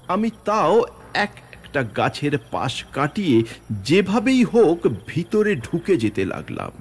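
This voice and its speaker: Slow, animated male horror storyteller. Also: medium pitch, slight background noise. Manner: suspenseful, theatrical, deliberate phrasing. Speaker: audio story narrator. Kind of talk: dramatic first-person horror storytelling. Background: music.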